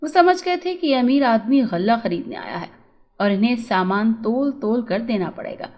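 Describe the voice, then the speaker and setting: A woman's voice: on the high side, animated, normal speed, darkish tone. A woman storyteller reading a scripted moral tale as narration.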